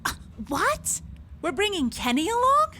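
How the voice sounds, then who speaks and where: A woman's voice: very high voice, big pitch swings, a little slow, bright, forceful tone, heavily acted. A woman playing an eager, sheepish young female mech soldier in an audio drama. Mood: curious. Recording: faint background noise and ambience.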